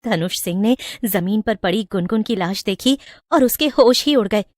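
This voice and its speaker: A woman's voice: medium pitch, expressive, normal speed, no room sound, studio quality. A woman storyteller reading a scripted moral tale in a dramatic narrating style.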